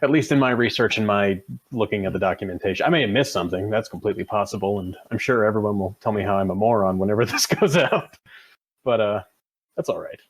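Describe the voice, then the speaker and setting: American guy, kinda low husky voice, game dev nerding out casually, pokes fun at himself. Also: fast talker. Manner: self-deprecating, jargon-heavy, casually profane. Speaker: video game developer. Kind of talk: casual technical chat.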